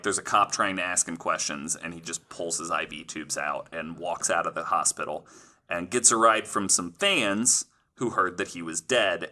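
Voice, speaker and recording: Low-pitched man with a bright, forceful voice. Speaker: podcast host telling the story. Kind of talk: reading researched stories with casual asides.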